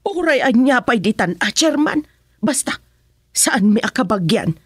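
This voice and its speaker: A woman's voice: a little high, big pitch swings, a little slow, breathy edge, dry recording, studio quality, exaggerated voice. A woman acting a haughty, fussy, dismissive village woman in a radio comedy drama, with an Ilocano accent. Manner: exclaiming, dismissive.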